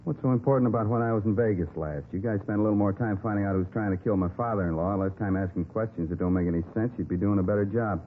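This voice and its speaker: A defensive male suspect played by an actor in a vintage radio police drama. He talks low and quickly, curt and sarcastic, with the thin sound of an old broadcast. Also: phone-quality sound, lightly acted. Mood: testy, sarcastic. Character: defensive, testy, evasive man questioned by police. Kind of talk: 1950s radio acting. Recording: thin, faintly noisy vintage radio recording.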